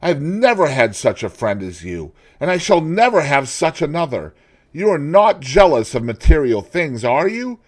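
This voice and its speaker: A man's voice: fairly low pitch, lively intonation, moderate pace, darkish tone. A man reading aloud and voicing an earnest, devoted male painter who speaks pleadingly.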